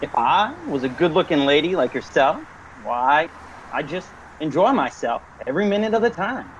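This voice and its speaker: A man's voice: fairly high pitch, expressive, moderate pace, a bit bright, highs cut off, a little hiss or hum. A man playing a brash, folksy hitchhiker in an amateur radio thriller, talking bluntly and colloquially. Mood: breezy, flirtatious. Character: brash, folksy, easygoing hitchhiker turning wary.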